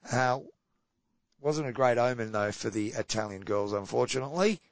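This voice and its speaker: A man co-hosting a hockey podcast, running through match results in casual chat with a slightly croaky voice. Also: mid-range voice, dry recording, dull audio. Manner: brisk results rundown, trailing off quietly. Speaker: podcast co-host.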